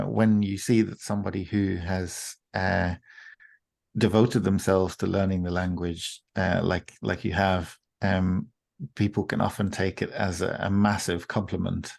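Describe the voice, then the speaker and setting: Gravelly-voiced man moderating a panel. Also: lowish voice, a little mellow. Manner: polite, long winding questions with fillers.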